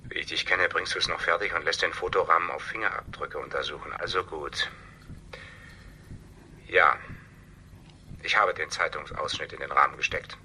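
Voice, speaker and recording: Sharp-voiced, evasive man with secrets. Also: extremely low pitch, fairly even intonation, normal speed, long pauses, slight background noise, full character voice, poor audio. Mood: resigned. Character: evasive, defensive male family friend hiding a secret. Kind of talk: German radio crime drama acting.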